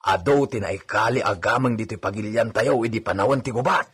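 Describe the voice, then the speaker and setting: A male actor plays a scheming Filipino villager in a radio drama. His voice is on the low side and extremely raspy. He talks like a man sure of his plan. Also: brisk, fairly bright tone. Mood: sly, assured. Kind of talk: Ilocano radio drama acting.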